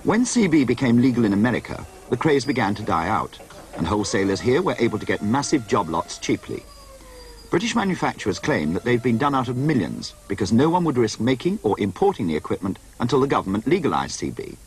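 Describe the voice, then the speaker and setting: A man's voice: mid-range voice, very animated, fast talker. A man narrating a scripted television news feature as a reporter.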